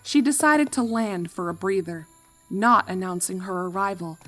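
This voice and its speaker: A woman reading fan fiction as narrator, expressive and dramatic, leaning on key words. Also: mid-range voice, normal speed, soft background music, poor audio. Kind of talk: expressive scripted story narration.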